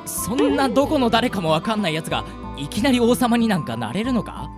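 Woman acting a goofy, lively teenage boy. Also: on the high side, fairly quick, over music. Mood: casual, boyish. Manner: teasing, boyish rough speech. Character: goofy, girl-crazy, cheeky teenage boy. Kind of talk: Japanese anime-style radio drama acting.

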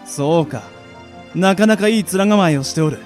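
A man's voice: sits high, normal speed, darkish tone, faint music behind, close to an everyday voice. A man in an amateur radio drama playing a benevolent king with grand, archaic royal speech. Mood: solemn welcome. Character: stately, benevolent fantasy king.

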